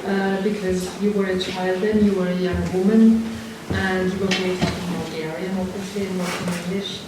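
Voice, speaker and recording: A woman's voice: lowish voice, flat delivery, normal speed. A woman moderating a literary event, asking long winding questions full of hesitations. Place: literature house event hall. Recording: echoey hall from far off, noisy.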